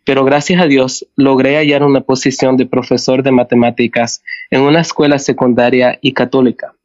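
Male teacher guest, English-dominant, mid pitch, careful halting delivery, dry and slightly muffled recording. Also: flat delivery, a little mellow, no room sound. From Canada, born in El Salvador. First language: English dominant, heritage Spanish speaker. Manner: careful, halting with slips and corrections. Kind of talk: prepared talk as interview guest. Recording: dull, dry lo-fi sound.

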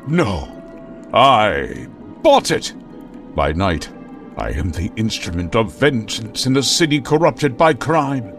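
An actor playing a pompous, melodramatic millionaire vigilante in the style of an old radio serial, with grand theatrical proclamations. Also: male voice, mid-range voice, a bit bright, heavily acted. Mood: boastful. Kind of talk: 1940s radio serial acting.

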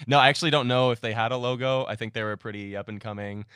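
A man's voice: medium pitch, quick pace, a bit bright, clear, resonant tone, no echo, studio quality. A young man chatting casually with friends as a podcast co-host, jokey and reminiscing.

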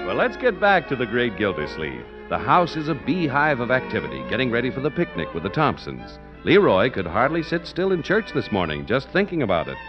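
A man's voice: huge pitch swings, over music. Old radio announcer guy, swoopy salesy delivery, dull lo-fi sound. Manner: brisk salesman pitch. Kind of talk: 1940s radio commercial.

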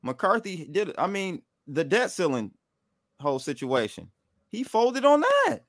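Male co-host, slightly higher voice, blunt and frank, dry close mic. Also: wildly swooping pitch, measured pace, a bit bright, no room sound. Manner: agreeable, frustrated, blunt. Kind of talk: casual political chat.

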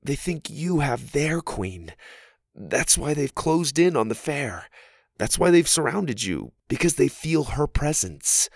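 A man's voice: fairly high pitch, sing-song, very slow, gravelly, hardly any pauses, dry recording, clean studio sound, voice pushed up, heavily acted. A man voicing a tiny, wounded human heroine in a storybook, speaking weakly and falteringly. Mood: weak, insistent. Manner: weak, faltering.

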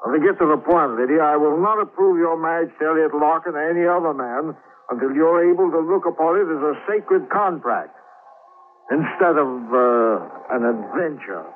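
An actor playing a stern, wealthy older uncle, blunt and imperious, in a 1940s radio drama. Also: male voice, on the high side, expressive, normal speed, phone-quality sound, slight background noise, natural voice. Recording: thin band-limited old radio recording.

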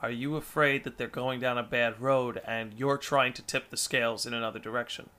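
A man's voice: medium pitch, fairly even intonation, a little fast, pushed and bright. A man hosting a casual podcast interview, pushing his guest with probing devil's-advocate questions. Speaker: podcast host.

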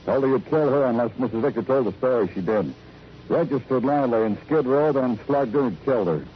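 An actor playing a terse, brooding Broadway police detective in an old radio crime drama. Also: male voice, mid-range voice, a little fast, a little hiss or hum, natural voice. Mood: pressing, accusing. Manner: clipped, insistent, terse. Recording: muffled old radio recording.

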